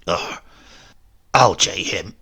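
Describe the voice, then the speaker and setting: Storybook great-uncle: aged rustic Englishman, gravelly, breathy, grumbling, sly and feisty to the end. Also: low voice, extremely slow, pushed and bright, voice pushed down, heavily acted. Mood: sly, conspiratorial. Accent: rural English. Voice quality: croaking, breathy, weary.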